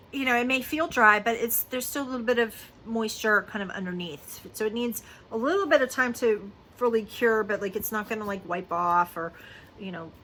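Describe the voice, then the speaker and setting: A woman in her fifties talks straight to the camera in a bright, forward voice, chatty and confiding, her speech full of fillers. A faint hiss of background noise sits under her voice. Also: fairly high pitch, poor audio. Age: 50s. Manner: chatty and confiding, many fillers like "you know" and "um". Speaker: lactation consultant. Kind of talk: casual personal vlog talk.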